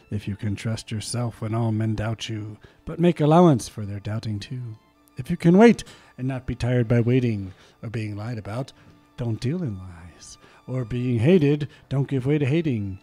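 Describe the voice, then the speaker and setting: A man hosting a community radio show, reading poems aloud with theatrical swells and slipping into quiet, murmured asides between them. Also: medium pitch. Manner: theatrical, swells loud on key lines, then drops to quiet murmured asides. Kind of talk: dramatic poetry reading with chatty asides. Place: small community radio studio. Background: soft music underneath.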